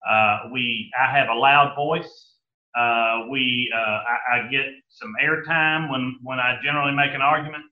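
A man's voice: mid-range voice, steady tone, moderate pace. A man who owns a restaurant, talking as a guest in an interview and making his case emphatically, with many uh's and restarts. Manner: emphatic, argumentative, with frequent fillers. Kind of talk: interview discussion. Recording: echoey, muffled.

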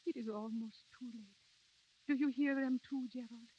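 An actress playing a tender, wistful and theatrical estranged wife in the style of 1930s radio drama. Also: female voice, on the high side, steady tone, measured pace, lightly acted. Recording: muffled, hissy 1930s radio recording.

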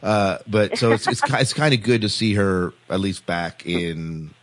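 A man hosting a casual panel discussion, speaking haltingly and often repeating words. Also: fairly low pitch, dry recording. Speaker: podcast host.

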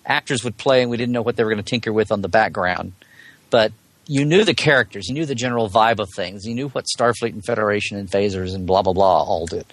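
A man's voice: mid-range voice, a bit bright, no room sound. A man in a casual fan discussion as a podcast guest, talking in a digressive, colloquial way and often rephrasing mid-thought. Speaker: podcast guest, Star Trek blogger.

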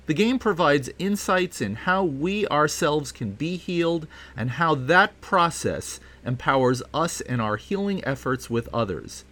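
A man hosting a podcast, introducing a guest and reading a promo in a measured way. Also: fairly high pitch, animated, pushed and bright. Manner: measured, spells out web addresses slowly. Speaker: podcast host.

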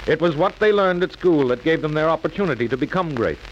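A man's voice: on the high side, lively intonation, fast, muffled recording, natural voice. A man narrating a 1940s educational radio program in a formal, declarative way. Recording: noisy vintage 1940s radio recording.

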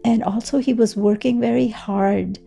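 Older woman from Kashmir, gravelly and mellow. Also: on the high side, fairly even intonation, moderate pace, soft background music. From Kashmir, India. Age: 70s. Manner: reflective, with frequent fillers and restarts. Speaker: interviewee. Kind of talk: personal storytelling.

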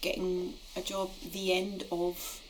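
A woman's voice: on the low side, completely flat delivery, takes it slowly, a little hiss or hum. A middle-aged woman hosting a podcast conversation, often hesitating and restarting her phrases. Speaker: podcast host. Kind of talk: conversational interview.

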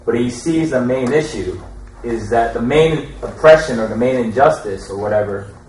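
A man's voice: mid-range voice, moderate pace, a little mellow. Guy chiming in at a class, casual talk, far from the mic, pretty echoey. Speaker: participant in a teaching session. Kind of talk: casual spoken explanation. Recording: distant, echoey room with faint noise.

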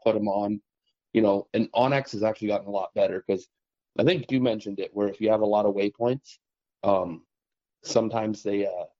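A man's voice: fairly low pitch, no room sound, highs cut off. A man from western Massachusetts, chatting casually as a podcast host with lots of fillers. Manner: relaxed, rambling, many "like" and "you know" fillers. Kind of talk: casual conversation about trapping.

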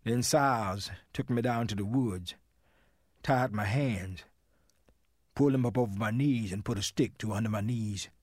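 Male actor as formerly enslaved Southern witness, lowish voice, sober, measured, plain dramatic testimony, close dry recording. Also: a little mellow, no echo, close to an everyday voice. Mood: grave, matter-of-fact. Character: formerly enslaved Tennessee man soberly testifying to brutal punishment. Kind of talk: dramatic first-person testimony.

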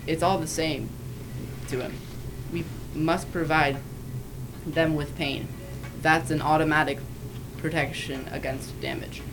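A woman's voice: low-pitched, fairly even intonation, measured pace, pauses often, background noise. A teenage girl playing a clinical, detached male scientist in an amateur radio play, matter-of-fact with occasional stumbles. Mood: detached, assured.

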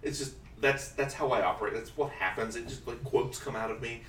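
A man in his thirties in casual chat, animated and laughing often, slipping into exaggerated quoted voices. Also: medium pitch, a little fast. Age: 30s. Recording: roomy echo, distant mic, faint hiss.

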